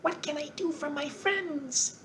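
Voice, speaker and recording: A woman reading aloud and voicing a tiny, gracious queen of the mice in a squeaky voice. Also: measured pace, a bit bright, a little hiss or hum.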